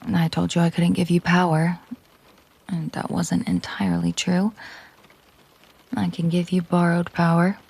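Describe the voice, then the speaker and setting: Slow, husky woman acting a mafia boss girlfriend. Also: lowish voice, level tone, full character voice. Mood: protective, commanding. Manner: slow, deliberate, hushed, often breathy near-whisper. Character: possessive, menacing mafia boss girlfriend, controlled and protective. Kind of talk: intimate audio roleplay monologue.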